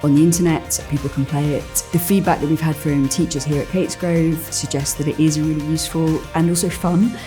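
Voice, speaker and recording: A woman explains her work in an interview. Her voice is notably low and soft-edged, dark in tone, with faint music behind. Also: steady tone, a little fast, few pauses. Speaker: game workshop maker.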